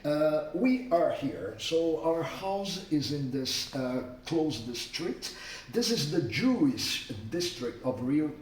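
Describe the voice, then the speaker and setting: A man from Rio de Janeiro with a Brazilian accent, a native Portuguese speaker, informally briefing guests in an emphatic, didactic way with short repeated statements. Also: on the high side. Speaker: accommodation host giving a city orientation. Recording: roomy, distant mic, faint background noise.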